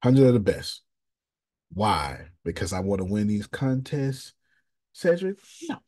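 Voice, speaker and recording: Man, coach, low husky voice, emphatic, slangy, repeats himself, video call. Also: a little slow. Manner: animated and emphatic, with slangy, repetitive phrasing. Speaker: business coach and host. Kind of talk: casual coaching chat on a group call.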